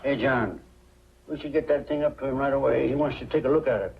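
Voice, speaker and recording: Casting: man playing wry small-town errand fellow, young, folksy and creaky-voiced, on a dull old film soundtrack. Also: medium pitch, quick pace, lightly acted. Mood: wry, casual. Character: breezy, irreverent small-town errand man, cheerfully announcing a death. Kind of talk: 1940s film acting.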